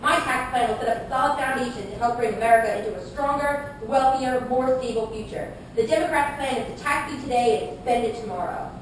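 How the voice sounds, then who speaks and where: A woman's voice: a little fast, hardly any pauses, close to an everyday voice. A woman playing a combative male conservative presidential challenger, making accusatory scripted speeches in a puppet show debate. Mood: indignant. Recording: echoey room, distant mic, rumbling noise.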